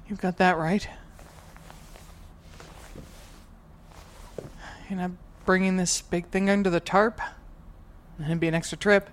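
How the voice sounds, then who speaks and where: A woman acting in an audio drama as a guilt-ridden, determined, tech-savvy moonbase worker, speaking in a halting, earnest way. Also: fairly low pitch, steady tone, a little hiss or hum, lightly acted. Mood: wry, casual.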